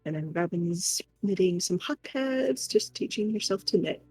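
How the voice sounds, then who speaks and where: A woman's voice: on the low side, expressive, moderate pace, dark tone, breathy edge, dry recording, soft background music. A woman co-hosting a crafts livestream, chatting casually and playfully with her viewers. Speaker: co-streamer doing crafts.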